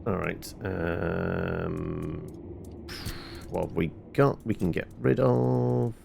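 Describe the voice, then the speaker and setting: A man's voice: deep voice, fairly even intonation, extremely slow, creaky, noisy background. A man giving casual commentary on his own video game, muttering to himself as he plays. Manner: muttering to himself while playing. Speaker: gamer narrating own playthrough.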